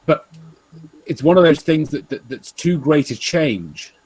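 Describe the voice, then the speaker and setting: A man with an English accent in casual, rambling discussion, halting and repeating himself, swearing freely. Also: fairly high pitch, expressive, a little mellow, breathy edge, no room sound, rough recording. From England. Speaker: talk show participant.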